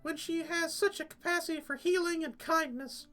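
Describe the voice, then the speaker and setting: A man voicing a worried, tender mother from a video game in a halting, gushing falsetto. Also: steady tone, pushed and bright, soft background music. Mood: grateful, tender. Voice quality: high falsetto. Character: worried, pleading, tender mother of a young woman.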